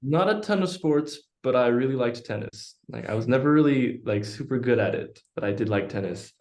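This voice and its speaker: A young man from Utah speaking as a guest in a casual interview. He answers thoughtfully and at a measured pace. His voice sits in the middle of the range and carries a noticeable room echo. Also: mid-range voice, lively intonation, a little mellow. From Utah, United States. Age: young adult. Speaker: podcast guest. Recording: echoey room.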